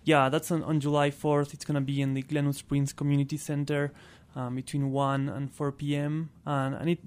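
Male radio guest, Argentine Spanish accent, slightly high and even-toned, conversational. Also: clear, resonant tone. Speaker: interview guest, clean transportation programme worker. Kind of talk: casual radio interview.

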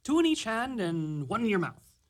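A woman's voice: medium pitch, sing-song, normal speed. A woman playing a naive, cocky young lad who is a lazy dreamer, in a fairy-tale radio play. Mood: naive, boastful.

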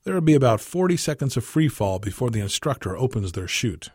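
A man with a husky voice reading a scripted short story as narrator. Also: medium pitch, sing-song, fairly quick, darkish tone, no echo, clean studio sound. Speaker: story reader.

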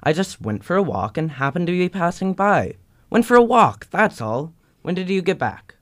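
A man's voice: sits high, very animated, normal speed, no echo, clean studio sound, voice pushed up. Defensive, awkward young suitor played by teen boy. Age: teenager. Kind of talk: radio play acting.

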